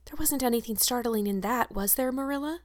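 A woman's voice: fairly high pitch, a little fast, no echo, clean studio sound, lightly acted. A woman voicing an anxious, dramatic orphan girl in a storybook, gushing and earnest with old-fashioned long words. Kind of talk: audiobook character acting.

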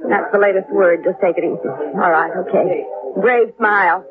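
An actress playing a brassy, world-weary American carnival barker in a 1950s radio drama, slangy and hard-boiled. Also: female voice, medium pitch, moderate pace, natural voice. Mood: bitter, shaken. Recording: tinny, noisy 1950s radio recording.

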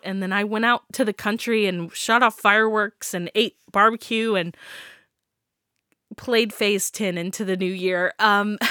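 A woman's voice: fairly high pitch, pushed and bright, dry recording, studio quality. Stuffy, giggly woman podcaster. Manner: chatty, giggly, many ums. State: stuffy from a sinus infection. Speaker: podcast host. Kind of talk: casual solo podcast chat.

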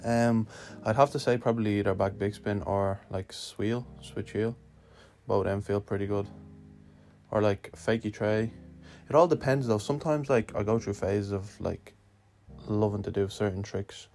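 A young man from Lithuania answers questions in a relaxed, slangy way and swears now and then. His voice sits low with a gravelly edge and barely rises or falls. Faint music plays behind him. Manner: laid-back, swearing, slangy. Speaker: guest skater answering a questionnaire. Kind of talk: casual spoken answers.

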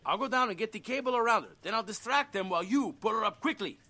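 A man's voice: high voice, expressive, fast, sharp tone, full character voice. A man playing a jumpy but brave, wisecracking young survivor in a zombie audio drama. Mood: determined.

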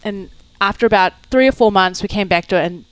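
A woman, a fitness coach guest talking casually at home in a halting way, with many fillers and trailing lists. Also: medium pitch, clean tone, no echo, low-quality recording. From New Zealand. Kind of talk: casual interview chat.

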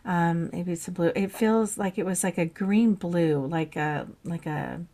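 A woman in casual, reflective conversation, thinking aloud in an expressive way, with Vietnamese as her first language. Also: fairly low pitch, a little slow.

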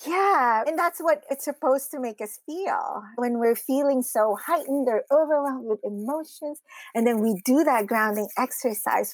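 Woman from the Philippines, high voice, close dry sound, personal interview storytelling. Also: no room sound, rough recording. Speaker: interview guest, women's community founder.

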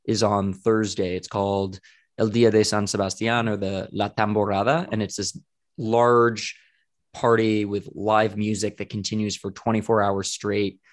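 Man from the US, podcast host, slightly low voice, slangy, casual. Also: little pitch movement, normal speed. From United States. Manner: laid-back, slangy, uses "like" often. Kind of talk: casual interview chat.

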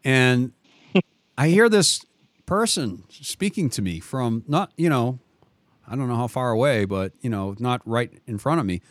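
A man's voice: medium pitch, lively intonation, unhurried, no echo. Rambling Boston-accented male host. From metropolitan Boston. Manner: talkative, lots of restarts and trailing asides. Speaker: podcast host. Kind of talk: rambling conversational storytelling.

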